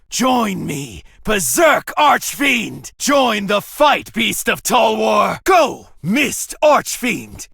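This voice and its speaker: A fierce gang duelist from an anime game, pitched high and swinging widely. He calls out battle moves theatrically, drawing words out in a gravelly, forceful voice that is recorded close and dry. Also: no echo, full character voice. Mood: commanding. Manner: theatrical, drawn-out battle cries. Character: fierce male street-gang duelist summoning demons. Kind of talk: English anime video game battle lines.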